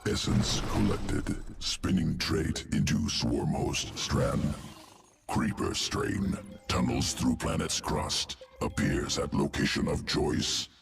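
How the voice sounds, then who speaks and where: A cold insectoid alien who engineers a swarm for a video game. The voice is extremely deep and guttural, and it moves slowly. It speaks in clipped, detached fragments with words dropped, over clear background music. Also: male voice, heavily acted. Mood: cold, clinical. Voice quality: gravelly, guttural. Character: alien insectoid evolution master of a swarm, cold, clinical, calculating. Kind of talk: video game character dialogue.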